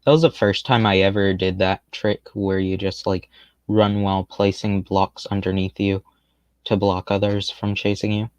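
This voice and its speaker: A man with a lowish voice drifts through relaxed gameplay commentary in a fairly monotone, rambling way, gently poking fun at himself. The sound is close and dry, with no room around it. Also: normal speed, no room sound. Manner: rambling, laid-back, self-deprecating. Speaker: game streamer.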